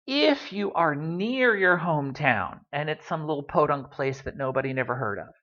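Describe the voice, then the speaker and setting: A man's voice: fairly high pitch, expressive, moderate pace, bright, forceful tone, lo-fi sound. A man giving a casual Spanish lesson, full of wry asides and ums. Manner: chatty, wry asides, many ums and uhs. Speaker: Spanish teacher.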